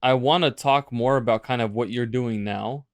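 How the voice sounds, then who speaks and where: A man's voice: medium pitch, normal speed, dry recording. A young man hosting an interview, talking casually and animatedly. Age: young adult. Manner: animated, casual, uses "like" often. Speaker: podcast host. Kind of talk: interview chat.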